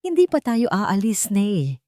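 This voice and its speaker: A woman storyteller voicing a wronged wife who is coldly calm and determined. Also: medium pitch, unhurried, dry recording, clean studio sound, voice pushed up. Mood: calm, hushed.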